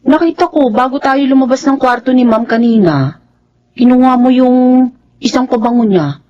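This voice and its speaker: A woman's voice: sits high, moderate pace, dull audio, lightly acted. A woman playing an honest, timid housemaid in a Filipino radio drama, polite and hesitant, with a Filipino accent. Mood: earnest.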